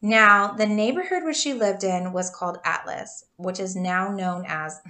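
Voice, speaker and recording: A woman's voice: mid-range voice, unhurried, bright, forceful tone. A woman in her thirties co-hosting a podcast, telling a true crime story in a casual, chatty way, laughing often and stumbling over names.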